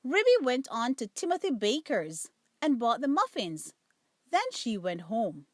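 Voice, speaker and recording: A woman storyteller reading a children's bedtime story aloud, with careful, expressive phrasing. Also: on the high side, a little slow, no room sound, studio quality.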